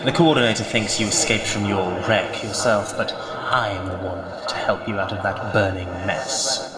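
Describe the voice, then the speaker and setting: A man's voice: lowish voice, moderate pace, reverberant, over a noisy crowd, lightly acted. An actor playing a mysterious, sardonic man who speaks in cryptic, mocking turns, in an audio drama.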